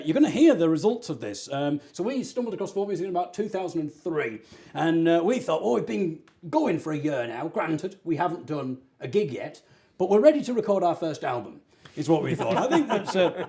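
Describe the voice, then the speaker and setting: A man tells stories in a loose, self-mocking way and laughs often. His voice sits a little high and swings widely in pitch as he jokes through the tale of his band's early days. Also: big pitch swings, normal speed, mellow. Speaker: host, folk singer. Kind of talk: jokey livestream chat.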